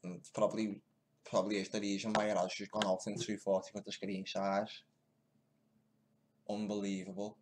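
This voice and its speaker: A man's voice: lowish voice, monotone, moderate pace, pauses often, noisy background. A man muttering casual, crude commentary to himself while he sets up and watches video clips at home. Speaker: video host.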